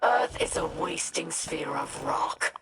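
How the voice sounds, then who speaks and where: A woman voicing a bitter alien exile in a video game, disdainful and measured. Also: sits low, expressive, exaggerated voice. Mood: cold scorn. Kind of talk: video game dramatic dialogue. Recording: loud game ambience noise.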